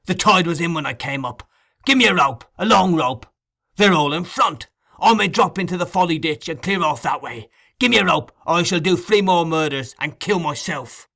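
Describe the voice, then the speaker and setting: A man's voice: fairly high pitch, fairly quick, few pauses, bright, forceful tone, pitched above the performer's own voice. A man reading aloud, voicing a brutal, desperate male murderer who barks orders fiercely. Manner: fierce, harsh barking.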